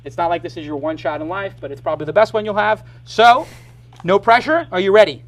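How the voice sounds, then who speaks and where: A middle-aged man hosting a live startup show, joking and talking fast in bursts. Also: high voice. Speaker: podcast host.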